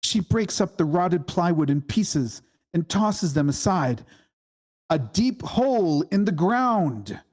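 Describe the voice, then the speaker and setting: Slow, husky screenplay narrator. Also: medium pitch, very animated, darkish tone. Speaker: screenplay reader. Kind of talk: reading screenplay stage directions at a table read.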